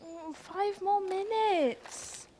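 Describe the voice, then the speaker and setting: An actress playing a haughty, indignant eighteen-year-old princess in a theatrical stage pantomime. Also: female voice, very high-pitched, extremely slow, dark tone, full character voice. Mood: sleepy, grumbling.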